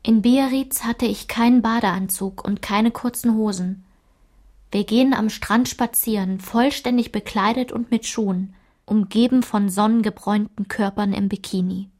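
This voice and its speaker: An actress playing a woman who recalls her painful girlhood, narrating a literary radio drama in a measured, reflective way. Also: mid-range voice, fairly even intonation, a little mellow, lightly acted.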